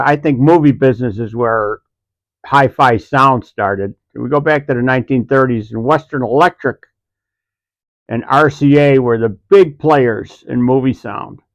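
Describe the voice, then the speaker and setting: Male co-host, mid-pitched, unscripted and halting explainer, close dry room sound. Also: no echo. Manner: halting, pieces phrases together conversationally. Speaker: co-host, vintage audio enthusiast. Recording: dry, slightly dull recording.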